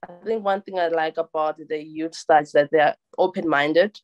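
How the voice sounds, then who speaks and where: A woman's voice: low voice, steady tone, moderate pace, lo-fi sound. A young woman from South Africa with a South African accent, answering interview questions in a casual, friendly way, often repeating words as she searches for them, recorded close up in a small office. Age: young adult. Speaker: interviewee, master's student. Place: small indoor office room.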